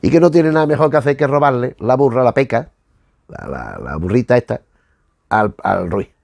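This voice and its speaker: A man's voice: mid-range voice, expressive, normal speed, creaky, a little mellow, dry recording, clean studio sound. A man from giving an informal, digressive commentary from notes as host of a retro review show, often trailing off into vague tags.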